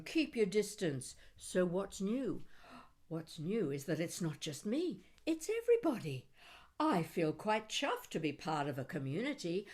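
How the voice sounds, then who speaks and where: A woman reading her own poetry aloud in an expressive spoken-word style, with deliberate, dramatic phrasing and wry humour. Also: fairly low pitch. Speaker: poet reading own work.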